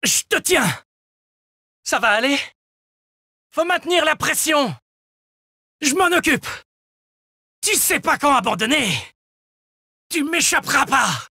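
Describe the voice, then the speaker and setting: A man voicing a spirited, brave young cat-eared male adventurer in a video game, earnest, intense and dramatic, with a husky voice. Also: extremely high pitch, animated, slow, long pauses, bright, forceful tone, no room sound, studio quality, full character voice. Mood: fierce battle cry. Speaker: video game party companion. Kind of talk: French video game battle lines.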